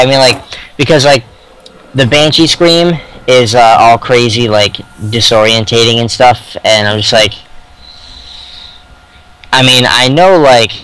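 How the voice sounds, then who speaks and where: A man with a clear, smooth voice at an average pitch. He speaks casually as a podcast co-host. His delivery is halting, with repeated starts as he feels his way to the point. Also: fairly bright tone, poor audio. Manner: halting, hesitant, repeating himself. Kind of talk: casual chat.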